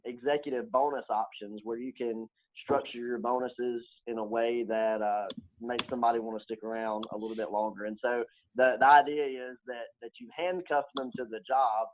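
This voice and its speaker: A man comes through on a thin, phone-like line. He is an interview guest who explains terms instructively, in a mid-pitched voice. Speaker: guest benefits advisor.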